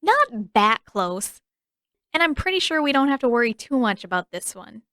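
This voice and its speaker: Woman voicing a brash, high-pitched, bossy young girl. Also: sing-song, normal speed, no echo, clean studio sound. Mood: scornful. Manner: loud, barking, taunting delivery. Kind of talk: character voice in an audiobook-style fan fiction reading.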